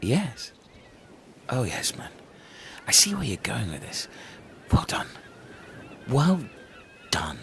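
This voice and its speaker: A man acting a slow-witted, earnest, laid-back mate in a comedy audio sketch, deliberate and repetitive. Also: mid-range voice, huge pitch swings, gravelly, a bit bright, a little hiss or hum, heavily acted. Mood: impressed.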